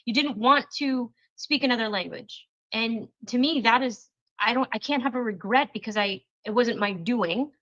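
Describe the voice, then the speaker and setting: A woman's voice: a little high, moderate pace, fairly bright tone. A woman co-hosting a casual talk show, explaining her points earnestly and with emphasis. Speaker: co-host. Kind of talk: casual chat. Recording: slightly dull lo-fi recording.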